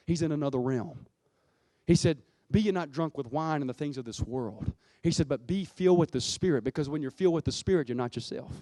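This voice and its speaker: High-pitched male preacher, emphatic. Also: warm tone, no echo. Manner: emphatic, repeats phrases for effect; exhorting, punctuated with rhetorical questions. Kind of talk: impassioned Pentecostal sermon.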